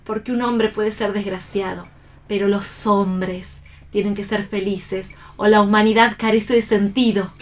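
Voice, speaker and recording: An actress playing a tender, idealistic heroine in a radio play, earnest and dramatic. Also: female voice, medium pitch, fairly even intonation, measured pace, natural voice. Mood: hopeful. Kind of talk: theatrical radio play reading.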